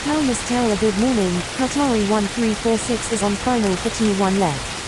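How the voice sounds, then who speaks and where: A woman's voice: a little high, normal speed, lightly acted. A woman voicing an airline pilot in a game, giving clipped, procedural radio calls in set phraseology. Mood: businesslike. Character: routine, businesslike female airline pilot. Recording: loud hiss, rain-like static.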